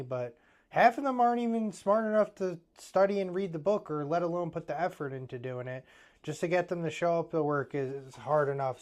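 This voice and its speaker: Blunt thirty-something man, smooth voice. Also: fairly high pitch. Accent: Eastern Pennsylvania. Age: 30s. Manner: blunt, motivational, many fillers. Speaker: plumbing business owner. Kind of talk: casual business talk.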